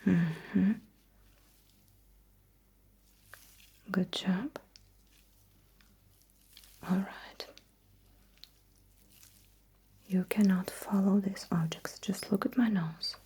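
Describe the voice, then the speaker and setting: A woman playing a calm, attentive alien battlesuit technician in a hushed, breathy ASMR sci-fi roleplay, giving slow and deliberate instructions. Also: mid-range voice, a little hiss or hum. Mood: softly encouraging.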